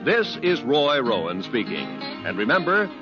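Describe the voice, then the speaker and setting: A man's voice: medium pitch, sing-song, normal speed. An American man announcing from a script in polished, formal 1950s network radio style. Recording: dull vintage radio broadcast, music behind.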